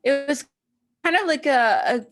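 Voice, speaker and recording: A woman's voice: high voice, expressive, measured pace, fairly bright tone. A woman with a Greek accent co-hosting a casual podcast over a video call, explaining history with plenty of fillers and restarts. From Greece. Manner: rambling, many fillers and restarts while explaining. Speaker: podcast co-host.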